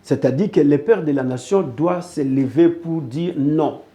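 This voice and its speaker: A man's voice: fairly high pitch, unhurried, dark tone. A man from Congo speaking earnestly in a political interview, pressing his points by repeating phrases. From Congo (DRC). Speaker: interview guest.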